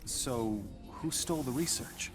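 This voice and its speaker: An actor playing an inquisitive, skeptical reporter in a video game cutscene, with a questioning, conversational manner. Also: male voice, mid-range voice, a little slow, music in the background, natural voice. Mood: curious.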